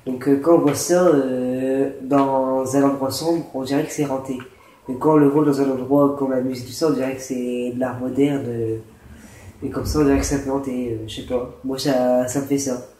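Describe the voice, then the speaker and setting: Male gaming host with a cold, rambling and hesitant, mellow tone, roomy echoey recording. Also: mid-range voice, steady tone, normal speed. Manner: rambling, hesitant explaining with many fillers. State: stuffy with a cold and sore throat. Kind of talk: casual gameplay commentary and building tutorial. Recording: roomy echo with faint noise.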